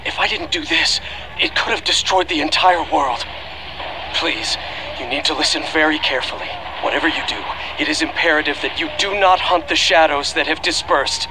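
A middle-aged actor playing a remorseful scientist father in an anime game, pleading gravely and deliberately. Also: fairly high pitch, noisy background, natural voice. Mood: desperate warning. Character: desperate, remorseful scientist father recording a last message.

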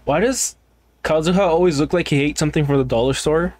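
A man's voice: fairly high pitch, fast talker, a little mellow, no room sound. A young man giving casual, irreverent, slangy commentary as he streams a video game. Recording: game music in background. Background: game music.

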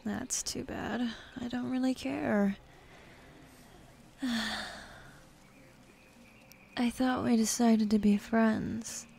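A young woman voicing a bossy, teasing demon woman in an intimate, half-whispered ASMR roleplay, with a husky, breathy voice and a sardonic drawl. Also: a little high, steady tone, slow, warm tone, slight background noise.

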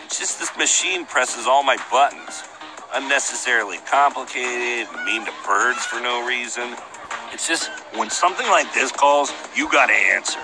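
An actor voicing a big, goofy, easily distracted best friend in a video game, laid-back and boastful. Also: male voice, medium pitch, animated, moderate pace, over music, natural voice, rough recording. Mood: sheepish.

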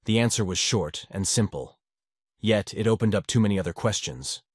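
A man narrating a fanfiction audiobook with a steady, measured reading. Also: on the low side, breathy edge, dry recording, clean studio sound.

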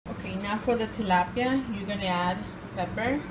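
A woman giving a casual home cooking tutorial, talking through each step in plain, halting instructions. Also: medium pitch. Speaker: home cook. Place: home kitchen. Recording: distant phone audio with loud kitchen noise.

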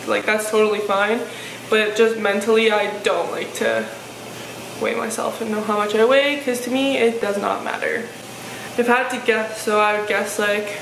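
Deep-voiced young woman, flat and chatty. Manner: chatty, frank, lots of "like" fillers. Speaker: fitness vlogger. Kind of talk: casual Q&A vlog. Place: living room, seated on a sofa. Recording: echoey room with faint hiss.

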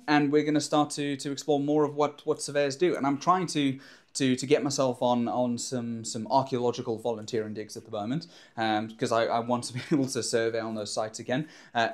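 A man's voice: mid-range voice, brisk. A young man chats to camera in a small home studio, recorded close on a lapel mic. He rambles happily, piling up fillers and restarting sentences, his ordinary mid-range voice full of enthusiasm for his hobby. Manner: rambling, many fillers and restarts, animated hand gestures. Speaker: maker and drone surveyor vlogger. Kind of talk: casual enthusiastic talk to camera. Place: indoor home studio in front of a backdrop. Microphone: clip-on lapel mic.

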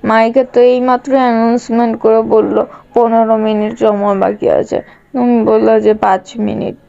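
Sleepy cartoon daughter, played by a woman: mellow, husky, grumbling back, muffled sound. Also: on the high side, level tone, a little fast, a little hiss or hum. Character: drowsy, back-talking daughter begging for more sleep. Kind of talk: cartoon voice acting.

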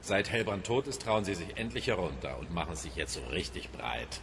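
Low-voiced, domineering inspector. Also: male voice, sing-song, normal speed, vocal fry, background noise, heavily acted. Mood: mocking, menacing. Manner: taunting, commanding. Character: mocking, domineering male police inspector with sinister edge. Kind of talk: radio drama dialogue.

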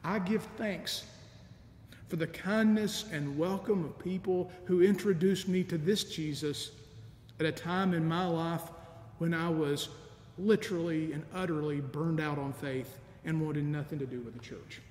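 A middle-aged man preaching a sermon as a deacon, measured and earnest, with soft laughs. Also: steady tone. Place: large empty church sanctuary. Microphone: stand mic in front of lectern, camera at a distance. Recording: echoey church with long reverb, faint rumble.

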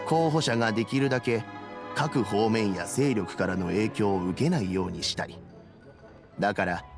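An actor playing a kindly, wise elderly statesman in a video game, speaking in a measured, old-fashioned way. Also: fairly low pitch. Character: dignified kindly elderly statesman, grey-haired, calm and wise. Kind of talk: Japanese video game voice acting.

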